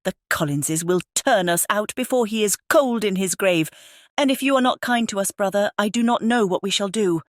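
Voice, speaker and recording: A woman's voice: medium pitch, moderate pace, no room sound, natural voice. Lady doing a whiny self-pitying middle-aged mum from an audiobook, always lamenting, really dry recording. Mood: plaintive, distressed. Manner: lamenting, complaining, exclamatory. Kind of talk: dramatized audiobook character reading.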